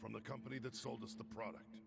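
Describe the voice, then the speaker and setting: Gravelly, curt warehouse tough. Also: male voice, mid-range voice, little pitch movement, fast talker, over loud music. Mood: businesslike. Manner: curt, matter-of-fact, menacing. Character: stern, threatening warehouse boss in shady trade. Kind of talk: video game voice acting.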